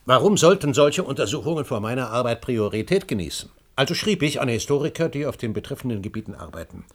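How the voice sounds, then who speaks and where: An actor in a German radio play, playing a driven, imperious middle-aged scholar who suspects conspiracies and speaks in emphatic, deliberate phrases. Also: male voice, mid-range voice, very animated. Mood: insistent. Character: driven, conspiracy-minded older male history scholar, insistent and imperious.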